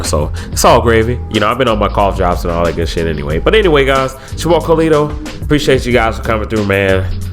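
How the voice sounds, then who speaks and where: Young male vlogger, congested, low pitch, laid-back slang delivery, soft music bed. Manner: loose slangy talk, many fillers and repeats. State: congested from a head cold. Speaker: YouTuber. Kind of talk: casual vlog talk to camera.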